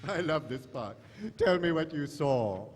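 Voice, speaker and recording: In a big echoing hall, a man performs a monstrous alien in professor's disguise for an audio drama. The voice is high and very animated. He gloats theatrically, with mock courtesy and dry wit. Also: a little slow, faint music behind. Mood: gleeful menace. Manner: theatrical, droll, mock-polite. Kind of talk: live stage audio drama. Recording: echoey hall stage recording.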